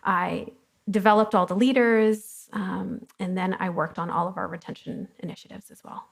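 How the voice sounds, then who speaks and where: A woman's voice: mid-range voice, steady tone, moderate pace, gravelly. Candid chatty woman guest. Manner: chatty and candid, with frequent ums. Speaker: guest, company co-founder. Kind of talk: casual conversational interview. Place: coworking studio, fireside chat before an audience.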